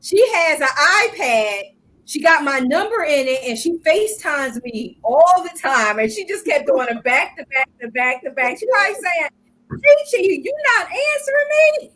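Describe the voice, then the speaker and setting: Older female talk-show co-host, high-pitched, chatty, quick to laugh. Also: rough recording. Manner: lively, chatty, laughs often. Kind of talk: casual live show chat.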